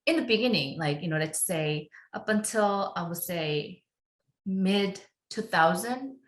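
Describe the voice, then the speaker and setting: A woman from Korea, a film composer, talking through her story in an unhurried podcast interview, with fillers and frequent laughs. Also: medium pitch, expressive, reverberant. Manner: unhurried, chatty, laughs often, many fillers.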